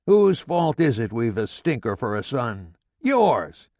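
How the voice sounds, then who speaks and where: A man voicing a furious, foul-mouthed middle-aged father who rants and shouts curses at his family. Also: medium pitch, very animated, slow, dry recording, voice pushed up. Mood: furious tirade.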